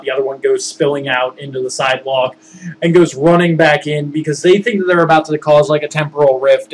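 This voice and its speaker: A man casually recounting his tabletop games as game master, rambling and informal, animated when he acts out what people said. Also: mid-range voice. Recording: noisy background.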